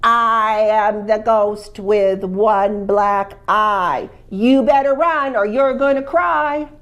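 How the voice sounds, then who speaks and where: A woman storyteller voicing a spooky male ghost who chants his lines slowly and drawn out. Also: mid-range voice, a bit bright. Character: spooky slow-talking male ghost in the cellar.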